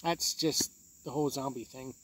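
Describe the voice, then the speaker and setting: A man hosting a tabletop game review video, explaining rules conversationally in a husky voice. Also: medium pitch, fairly even intonation, normal speed, a bit bright.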